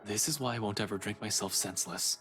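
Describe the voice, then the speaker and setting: Wry, weary young detective. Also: male voice, on the low side, quick pace, pushed and bright, slightly rough, faint music behind. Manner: dry, mock-polite, sarcastic. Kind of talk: visual novel game voice acting.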